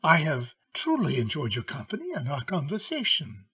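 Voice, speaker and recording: An actor playing a courtly, cautious middle-aged gentleman lawyer in an old-time radio drama, husky, courteous and hesitant. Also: fairly high pitch, huge pitch swings, moderate pace, exaggerated voice. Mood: guarded, grave.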